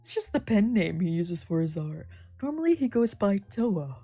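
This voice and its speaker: A woman's voice: medium pitch, very animated, normal speed, mellow, no echo. A woman voicing a smug, teasing male bar worker with a casual, breezy manner in a visual novel read-aloud.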